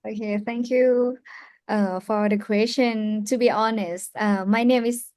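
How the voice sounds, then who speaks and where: A young woman from Thailand with a Thai accent, telling her personal story as a youth ambassador on a live panel, earnest and halting with many fillers. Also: a little high, fairly even intonation, darkish tone, clear, resonant tone, dry recording, studio quality. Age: young adult.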